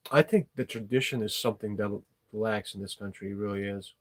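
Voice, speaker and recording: A man's voice: on the low side, steady tone, fairly quick. A man hosting an unscripted live chat, talking frankly and rambling in English, which is not his first language. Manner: rambling, frank, swears casually. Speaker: livestream host.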